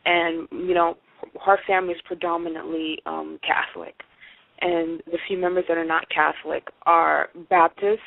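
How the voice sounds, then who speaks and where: A woman's voice: fairly low pitch, little pitch movement, normal speed, creaky, dry recording. A woman in her twenties from Haiti, a guest on a radio show, speaking hesitantly with many fillers. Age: 20s. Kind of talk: casual radio interview. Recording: dry, tinny phone-line audio.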